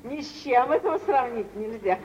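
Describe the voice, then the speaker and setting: A woman's voice: a little high, animated, moderate pace, a little mellow, lightly acted. An actress playing a fawning middle-aged mother with effusive, deferential manners, in an old theatrical radio play. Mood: fawning welcome. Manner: effusive, deferential, exaggerated politeness. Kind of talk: 1940s theatrical radio acting. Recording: dull, noisy vintage radio play recording.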